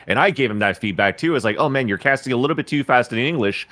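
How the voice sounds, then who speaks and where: A man's voice: medium pitch, lively intonation, fast talker, a bit bright, no room sound. A man, a guest commentator on a podcast, talking earnestly and giving advice with casual swearing.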